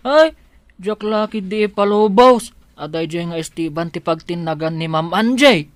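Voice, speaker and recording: Bashful, simple-minded manservant. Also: male voice, high-pitched, unhurried. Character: shy, simple-minded, good-hearted male servant. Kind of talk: Filipino radio drama acting.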